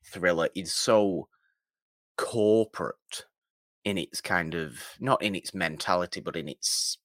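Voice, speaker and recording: A man co-hosting a film podcast, chatting casually and haltingly, repeating and rephrasing his points for emphasis. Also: low-pitched, fairly bright tone, no echo, clean studio sound.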